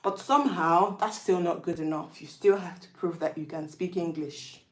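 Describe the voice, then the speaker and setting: A Nigerian-accented woman talks through her thoughts in a relaxed vlog monologue. She keeps asking rhetorical questions as she reflects aloud. Her voice sits a little low, and the recording carries a noticeable room echo. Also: normal speed. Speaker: vlogger. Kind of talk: casual opinion monologue.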